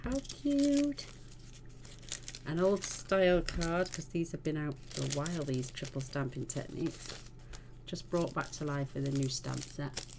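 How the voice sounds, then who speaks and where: Woman, low voice, gravelly, chatty crafting tutorial, noisy home recording. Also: a little slow. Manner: chatty, homely, talks while working. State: croaky voice. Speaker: card-making channel host.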